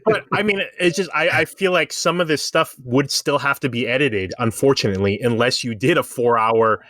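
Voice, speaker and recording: Man, podcast panelist, casual discussion, explanatory, medium pitch, close dry sound. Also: moderate pace, fairly bright tone, dry recording. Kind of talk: casual pop-culture discussion.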